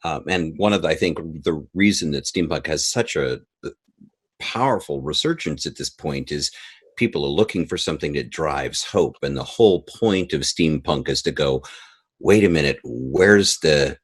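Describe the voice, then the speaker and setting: Low, husky-voiced man chatting. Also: moderate pace. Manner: animated storytelling, acts out quoted remarks. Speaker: interview guest, audio drama creator. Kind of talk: casual conversational interview.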